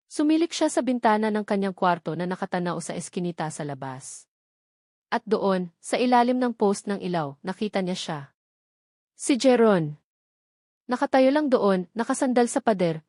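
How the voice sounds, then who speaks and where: A woman reads a romantic drama as an audiobook narrator. Her voice sits at a medium pitch, with dramatic scripted delivery. The recording is dry, with little room sound. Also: animated, normal speed, a little mellow, no echo.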